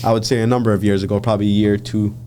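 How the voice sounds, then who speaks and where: A man from Michigan hosting a casual podcast interview, chatty and relaxed, with frequent fillers and restarts. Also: on the low side, rapid-fire, slight background noise. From Michigan, USA. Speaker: podcast host.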